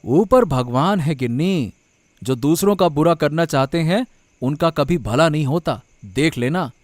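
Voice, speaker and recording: A man's voice: a little high, lively intonation, measured pace, dry recording, soft background music. A man playing a righteous, protective village uncle in a Hindi cartoon story. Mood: reassuring.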